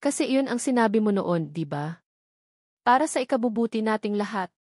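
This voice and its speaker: A woman's voice: a little high, lively intonation, moderate pace, darkish tone, no echo, clean studio sound. A woman storyteller voicing an angry, hurt man who speaks in an insistent, accusing way. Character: angry, hurt, demanding adult man confronting former lover. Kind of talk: dramatic romance story narration.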